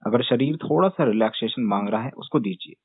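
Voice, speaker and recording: A man leading a guided meditation with gentle, unhurried step-by-step instructions and long pauses, as a teacher addressing a class. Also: medium pitch, breathy edge, no echo. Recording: dull phone-like narrow-band sound.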